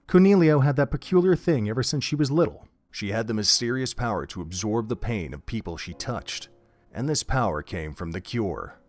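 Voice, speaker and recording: A man narrating an audio drama in an expressive storybook manner. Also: on the low side. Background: faint music.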